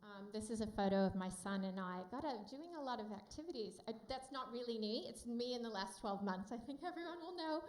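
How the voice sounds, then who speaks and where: A woman with an American accent giving a friendly, loud conference talk with many fillers to a room of support workers. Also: on the high side, lively intonation, a little fast, few pauses, echoey room, low-quality recording. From United States. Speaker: company speaker at a summit. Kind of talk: conference presentation.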